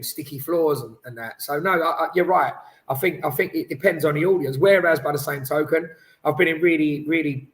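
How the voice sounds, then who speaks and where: Male podcast co-host, slightly high voice, animated and rambling, casual studio chat. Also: poor audio. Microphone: headphones on, studio mic.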